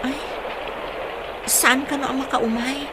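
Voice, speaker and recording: A woman's voice: sits high, level tone, slow pace, very noisy. An actress playing a trusting, anxious young girlfriend in a radio drama, with an Ilocano accent. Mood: worried.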